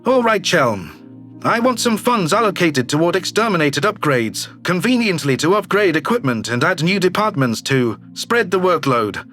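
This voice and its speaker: A man's voice: sits high, sing-song, normal speed, fairly bright tone, faint music behind, pitched below the performer's own voice. A man voicing a brash, slick alien governor who talks with glib self-assurance, in a sci-fi audio drama. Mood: breezy, confident. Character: brash, slick alien politician, newly elected male governor. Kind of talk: audio drama character reading.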